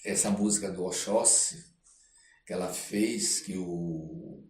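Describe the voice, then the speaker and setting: A Brazilian man with a lowish voice tells stories as an interview guest, reflective and rambling. He speaks very slowly and often closes phrases with a little checking tag. The room is echoey, with faint noise behind him. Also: a little mellow. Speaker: interview guest, performing artist. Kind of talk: casual storytelling in a podcast interview.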